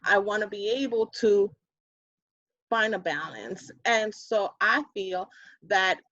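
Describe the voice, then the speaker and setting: A woman's voice: mid-range voice, slow pace, a bit bright, dry recording. A woman in her thirties with a New York Puerto Rican accent, chatting animatedly as an interview guest. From Bronx, New York. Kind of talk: casual interview chat. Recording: dull lo-fi audio.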